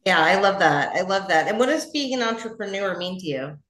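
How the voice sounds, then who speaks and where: Female podcast host, effusive and affirming, conversational, mid pitch, roomy echoing sound. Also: expressive, fairly quick, fairly bright tone. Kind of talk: conversational interview.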